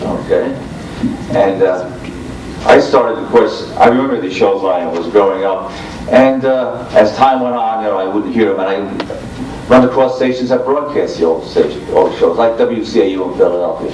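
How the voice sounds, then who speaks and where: An older man moderating a panel informally, speaking in a hesitant, halting way. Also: fairly low pitch. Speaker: radio show host and panel moderator. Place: convention room before an audience. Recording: roomy, distant, noisy informal video recording.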